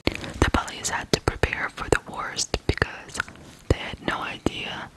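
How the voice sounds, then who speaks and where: Asmr host from ukraine, all whispers, real slow n deliberate, telling true crime. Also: low-quality recording. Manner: slow, deliberate, hushed delivery with pauses.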